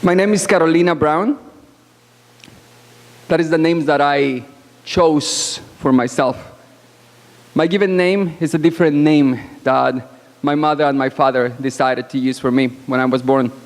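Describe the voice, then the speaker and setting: A woman born abroad speaks as a panelist at a conference, with a loud voice and a frank, assertive, deliberate delivery broken by pauses, into a microphone in a banquet hall. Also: low-pitched. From born outside Canada. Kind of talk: conference speech. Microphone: microphone at podium. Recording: long hall reverb.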